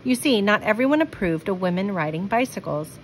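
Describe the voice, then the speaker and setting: Female storybook reader, mid-range voice, expressive and deliberate read-aloud delivery, light background noise. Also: mid-range voice, rough recording. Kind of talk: children's picture book read-aloud with asides.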